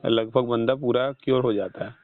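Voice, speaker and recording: A man's voice: medium pitch, fairly even intonation, moderate pace, no echo. A man with an Indian accent explaining things in a didactic way as a health counsellor on a phone call, often repeating himself and checking understanding. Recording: muffled, band-limited phone call recording.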